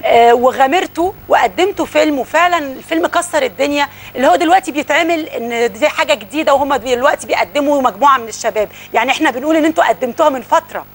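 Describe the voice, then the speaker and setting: Brisk Egyptian woman host, high voice. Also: fairly bright tone. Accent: Egyptian Arabic. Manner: brisk, prompting with short murmurs. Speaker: talk show host. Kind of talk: interviewing.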